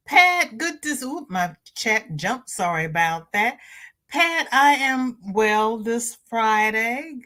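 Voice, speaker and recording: A woman hosting a crochet livestream, chatting casually and reading viewer comments. She sounds stuffy-nosed and greets viewers by name with high, bright lifts in her voice. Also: animated, takes it slowly. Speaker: crochet channel host.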